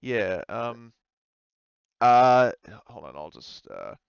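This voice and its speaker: A man's voice: mid-range voice, very animated, extremely slow, vocal fry, clear, resonant tone, dry recording, dull audio. A man casually narrating a tabletop roleplaying game as game master, speaking in hesitant, stop-start phrases.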